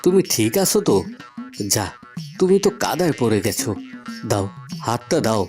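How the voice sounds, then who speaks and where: A man's voice: mid-range voice, sing-song, moderate pace, close to an everyday voice. A man voicing a concerned, helpful young villager in a Bengali cartoon, solicitous and coaxing. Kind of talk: Bengali cartoon fairy-tale voice acting. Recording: music clearly under the voice.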